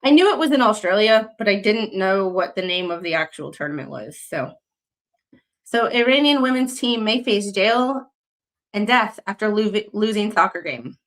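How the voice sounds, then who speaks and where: A woman's voice: mid-range voice, lively intonation, normal speed. Young woman from the us rambling on a livestream, lots of ums and restarts. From United States. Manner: rambling, many fillers and restarts; reads news excerpts aloud. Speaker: livestream host. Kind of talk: casual livestream commentary.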